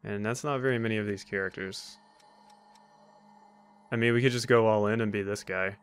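A man's voice: fairly low pitch, steady tone, normal speed, clean tone. Casual male let's player, wry and rambling. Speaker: let's play host. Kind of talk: casual gameplay commentary. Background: faint game music.

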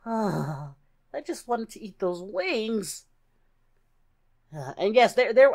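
A woman voicing an upbeat young male cartoon fighter, rambling and exclaiming through casual reaction commentary. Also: on the high side. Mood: amused, dismayed. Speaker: reaction video host.

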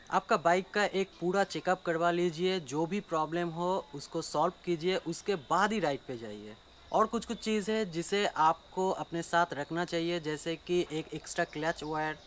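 A man's voice: a little high, a bit bright, smooth. Young Bengali-native man vlogging. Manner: explains step by step, frequent filler "a". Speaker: motorcycle vlogger. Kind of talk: casual talk to camera. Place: outdoors in a yard, then indoors in a small room.

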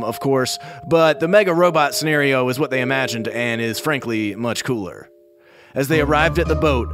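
A man narrating for children as a storyteller, in a casual style with wry asides. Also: medium pitch. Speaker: children's storyteller and show creator. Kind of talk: scripted story narration for kids.

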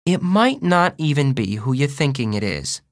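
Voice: medium pitch, very animated, moderate pace. A narrator playing a blunt, defensive schoolboy with casual, emphatic kid talk in an audiobook. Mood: insistent. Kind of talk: audiobook first-person character voice.

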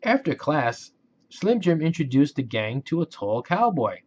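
Slow, expressive man reading a storybook. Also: fairly high pitch, husky, highs cut off. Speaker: story narrator. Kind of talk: children's storybook read-aloud.

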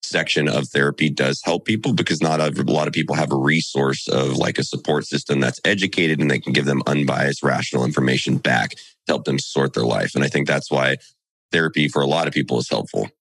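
A man co-hosting a podcast in casual chat, teasing and then reasoning earnestly, speaking into a boom mic in a studio room. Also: brisk, vocal fry, a bit bright, slightly rough, no echo. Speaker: podcast co-host. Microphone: studio mic on boom arm.

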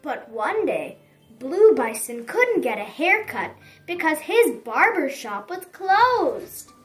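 High-voiced, animated woman reading a storybook. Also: takes it slowly, distant mic, faint music behind. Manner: expressive, emphatic storytelling. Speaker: children's storybook reader. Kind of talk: dramatic read-aloud narration for young children.

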